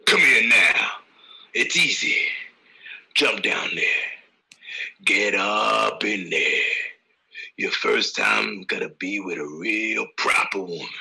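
A man playing a brutal, foul-mouthed Western outlaw father in a radio drama, with a gravelly drawl and a menacing manner. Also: low voice, bright, forceful tone, full character voice, rough recording. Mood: menacing coaxing. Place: home, on a group video call.

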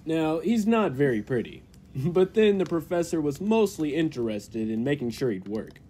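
A man playing an earnest, persuasive laboratory assistant in 1940s radio style, with a husky voice. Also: on the high side, lively intonation, moderate pace, warm tone, slight background noise, lightly acted. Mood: amused. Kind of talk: 1940s radio drama acting.

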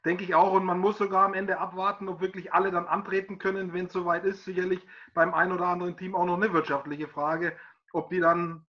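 A man's voice: high voice, level tone, fairly quick, dull audio. A middle-aged man, a sports reporter hosting an interview over a video call, asking questions in a friendly, chatty way.

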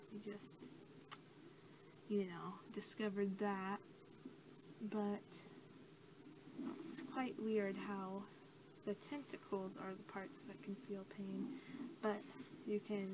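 A young woman doing soft-spoken ASMR roleplay as a scientist, talking in a casual, rambling, hesitant way. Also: medium pitch. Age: young adult. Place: small cluttered room indoors. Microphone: camera mic at about arm's length. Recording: clearly noisy amateur camera audio.

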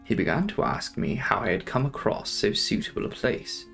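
A man's voice: fairly low pitch, sing-song, moderate pace, gravelly, a bit bright, faint music behind. A young man giving a dramatic narration of a classic novel as an audiobook reader.

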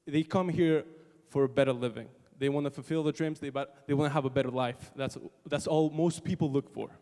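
A man's voice: medium pitch, steady tone, a little fast, mellow. A man speaking earnestly and emphatically during a discussion. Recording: reverberant concert hall.

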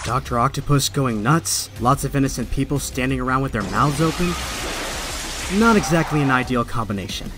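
An actor playing a young, wisecracking but stressed superhero in a comic dub, with a sardonic, conversational delivery. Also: male voice, a little high, background noise. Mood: tense narration. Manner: sardonic, conversational inner monologue. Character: young male superhero, wisecracking yet stressed and self-doubting. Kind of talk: dramatic comic dub acting.

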